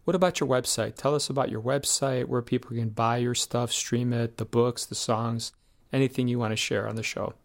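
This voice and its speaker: A talk-show host asks questions in a relaxed, rambling way, leaning on filler words. The voice sits at a middling pitch and keeps an easygoing, conversational tone. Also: moderate pace. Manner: loose, chatty, many "you know" and "like" fillers. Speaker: interview host. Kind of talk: casual interview chat.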